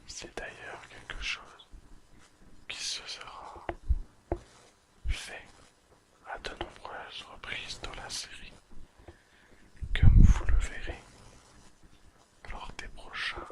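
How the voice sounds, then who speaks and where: An ASMR host speaks entirely in a breathy whisper. The delivery is extremely slow and deliberate, broken into short phrases with pauses between them, like a relaxing spoken summary. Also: low-quality recording. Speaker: host of an ASMR anime recap channel.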